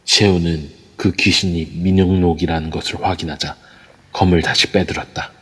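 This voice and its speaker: A man's voice: low-pitched, level tone, moderate pace, a bit bright, breathy edge. A man reading scripted narration for an audio drama. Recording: long reverb throughout.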